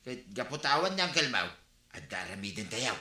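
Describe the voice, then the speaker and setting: A man's voice: mid-range voice, big pitch swings, brisk, bright, forceful tone. Male actor as a defensive drinker husband; radio comedy, echoey. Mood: chatty. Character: lazy, drink-loving husband. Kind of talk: Ilocano radio comedy drama acting.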